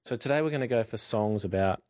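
A man tells a quirky news item on air in a loose, joking way, full of little ums and pauses. His voice sits a bit low and sounds close to the mic in a dry studio. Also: a little mellow, dry recording. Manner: chatty, playful storytelling with frequent ums. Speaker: radio news presenter. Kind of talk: casual radio banter and light news. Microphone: studio microphone.

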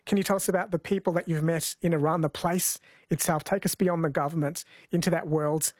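A man's voice: on the high side, fairly even intonation, fairly quick, dry recording, studio quality. A man hosting a radio interview, chatting with his guest and reading out listener texts in a conversational way.

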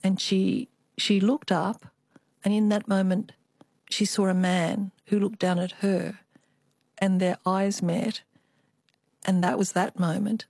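A woman artist interviewed in a studio, with a mid-range voice. She tells family stories in a reflective, unhurried way. The sound is close and dry. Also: medium pitch, flat delivery, creaky, darkish tone, no room sound. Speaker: guest, artist. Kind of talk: radio interview storytelling.

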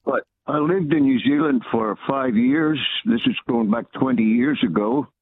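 A man's voice: medium pitch, normal speed, a little hiss or hum. A man with a Northern Irish accent calling in to a radio show, chatting easily and telling a story. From Northern Ireland. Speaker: caller. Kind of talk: casual phone-in chat. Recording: tinny phone line.

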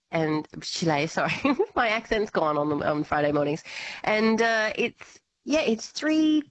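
A woman's voice: fairly low pitch, rough recording. A woman explaining science in a bubbly, laughing radio chat.

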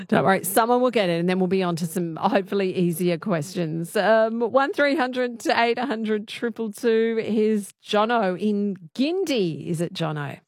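An Australian woman hosts a talkback radio quiz. Her voice sits at an ordinary pitch in a dry, close studio sound. She is chatty and encouraging as she talks. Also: a little slow, darkish tone, no echo.

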